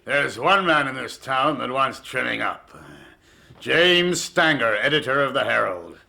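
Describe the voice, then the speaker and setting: An actor playing the menacing boss of a criminal lodge in a radio drama, speaking with commanding, threatening deliberation. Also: male voice, medium pitch, lively intonation, a little slow, vocal fry, bright, forceful tone, full character voice. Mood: stern, commanding.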